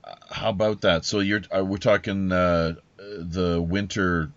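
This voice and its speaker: Deep voiced guy hosting a podcast, kinda halting, muffled lo-fi dry audio. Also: flat delivery, dry recording. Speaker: podcast host. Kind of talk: casual conversational interviewing. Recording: dull lo-fi audio.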